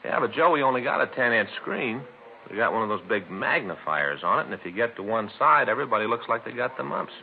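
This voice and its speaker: A man's voice: mid-range voice, very animated, fast talker, creaky, phone-quality sound. An American man playing a chatty married police detective in a 1950s radio drama, folksy, conversational and mildly grumbling. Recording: thin, lightly noisy 1950s radio recording.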